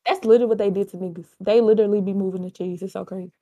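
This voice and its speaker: A woman's voice: medium pitch, steady tone, racing, mellow, no echo. A woman co-hosting a podcast, ranting and joking in casual, profane banter. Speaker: podcast co-host.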